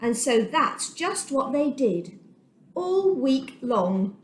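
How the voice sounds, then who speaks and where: A woman reading a children's Bible story aloud as a storyteller, with dramatic, deliberate phrasing and emphasis, recorded in an echoey room. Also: sits high, sing-song.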